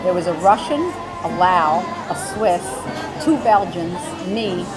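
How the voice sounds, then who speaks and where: A woman with a slightly low voice chats casually. Crowd chatter and music are clearly audible behind her. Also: a little slow, rough recording. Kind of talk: casual chat.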